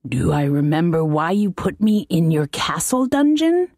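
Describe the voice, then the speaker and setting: A woman voicing a bored, cheeky male laundry demon servant in a comic fantasy audiobook, deadpan and formally insolent. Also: sits low, moderate pace, no room sound, studio quality.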